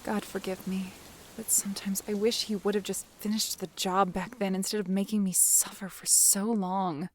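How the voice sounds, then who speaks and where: A woman's voice: medium pitch, little pitch movement, moderate pace, a little hiss or hum, close to an everyday voice. An actress playing a haunted, lonely young woman in an audio drama, subdued and sorrowful. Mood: weary, sorrowful. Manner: subdued, sorrowful inner monologue.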